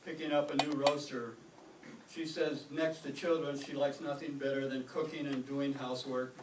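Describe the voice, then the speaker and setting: A man's voice: medium pitch, little pitch movement, normal speed, natural voice. A man playing a mild, earnest teacher in a radio comedy. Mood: mild, awkward. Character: mild, earnest, oblivious male teacher, Mister Boynton. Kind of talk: old-time radio comedy acting. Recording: echoey room, distant mic, clear noise.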